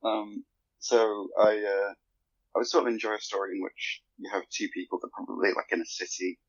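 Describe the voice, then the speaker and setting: A man's voice: on the low side, steady tone, moderate pace, no echo. A man chatting casually as a podcast guest, hesitant and rephrasing as he goes. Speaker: podcast guest, fan fiction author. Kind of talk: casual chat. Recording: dry, slightly muffled voice-call audio.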